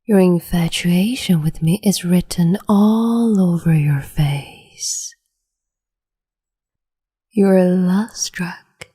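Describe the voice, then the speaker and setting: A woman voicing a cruel, capricious empress in a whispered roleplay, slow, mocking and condescending, close to the mic. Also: fairly low pitch, warm tone, full character voice. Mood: silky menace. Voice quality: whispery, breathy.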